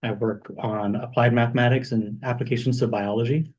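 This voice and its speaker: A young man from Hawaii giving an informal talk about his career as a guest speaker, relaxed, with many fillers. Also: mid-range voice, a little fast, highs cut off. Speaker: guest speaker, math postdoc.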